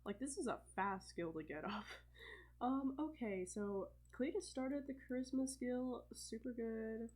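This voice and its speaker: A woman's voice: a little high, unhurried. Young woman w a stuffy cold chatting thru her sims game, lots of ums and little laughs. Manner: chatty, thinking aloud with fillers and soft laughs. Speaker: let's play host. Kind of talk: casual gameplay commentary.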